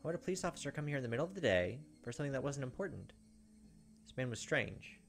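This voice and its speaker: A man, perhaps in his thirties, reading visual novel narration aloud and commenting, with dramatic, halting delivery. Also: medium pitch, very animated, vocal fry. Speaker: gaming video host. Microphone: desk microphone close up. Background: game music.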